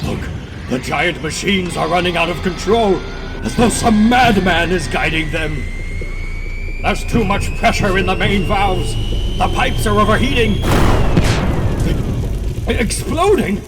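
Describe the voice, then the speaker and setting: A man's voice: high-pitched, animated, measured pace, long pauses, recorded from a distance, over music, voice pushed up. A man playing an alarmed atomic plant worker in a dramatic comic book reading, speaking urgently. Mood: panicked.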